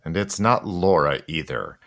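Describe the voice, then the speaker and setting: An actor playing a commanding gentleman suitor in a period audio drama, formal and clipped in manner, suspicious and grieving. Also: deep voice, expressive, a little slow, heavily acted. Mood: suspicious, menacing.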